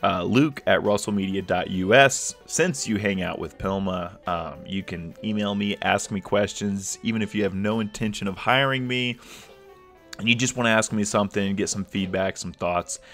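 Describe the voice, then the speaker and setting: A man's voice: lowish voice, normal speed, fairly bright tone, soft background music. A man hosting a livestream, talking casually with many fillers and restarts, a little sniffly from a cold. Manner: rambling, filler-heavy, restarts often. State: slightly congested from a cold. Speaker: livestream host.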